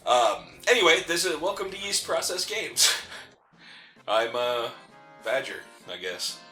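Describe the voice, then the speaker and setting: A man's voice: fairly high pitch, very animated, slow pace, pushed and bright. A man co-hosting a gaming let's play, chatting casually with joking, teasing banter. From a city, with mountain family roots. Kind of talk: casual chat, game commentary. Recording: roomy echo, faint game music behind. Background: faint game music.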